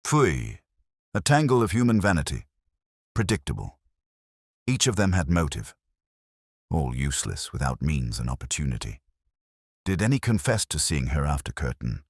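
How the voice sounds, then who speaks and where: An imperious armchair detective , played by a male narrator pronounces in an extremely low voice. He is terse, pompous and deliberate, in a dry, close audiobook sound. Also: sing-song, no room sound, heavily acted. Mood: scornful. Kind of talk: audiobook character dialogue.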